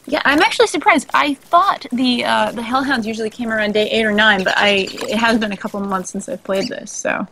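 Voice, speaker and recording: A woman's voice: fairly high pitch, brisk, few pauses, sharp tone, a little hiss or hum, poor audio. A woman with a Boston accent, co-hosting a casual game stream, joking and laughing often as she explains game lore. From Boston area. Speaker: co-host. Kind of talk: casual stream chat over gameplay.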